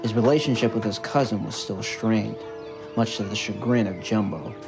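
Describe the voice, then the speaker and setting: A man reading a scripted story narration in a measured, earnest way. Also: lowish voice, fairly even intonation, vocal fry. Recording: music bed under the narration. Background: background music.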